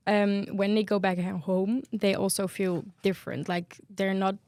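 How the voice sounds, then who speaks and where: A woman's voice: mid-range voice, little pitch movement, normal speed, a little mellow, no echo. A young woman hosting a podcast about student life, chatty and tripping over a word now and then. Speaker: podcast host.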